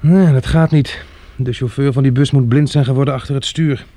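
An actor playing a level-headed, pragmatic man, a wary and protective disaster survivor in a Dutch radio drama, with a gravelly, husky voice. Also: medium pitch, fairly even intonation, a little fast, mellow, natural voice. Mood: wary, matter-of-fact.

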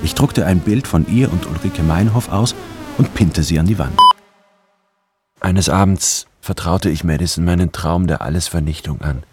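A man's voice: deep voice, normal speed, natural voice. An actor playing a brooding, obsessive intellectual man who narrates his own story in a literary radio drama. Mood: brooding, excited. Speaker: narrator and protagonist.

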